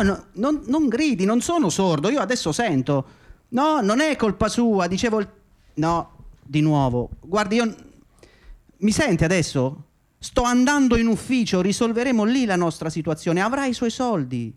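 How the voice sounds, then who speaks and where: A man playing an irritable, suspicious commuter in a radio play, flustered, impatient and quick to interrupt. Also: high voice. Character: irritable, suspicious man waiting at a bus stop. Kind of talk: Italian radio play dialogue. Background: music.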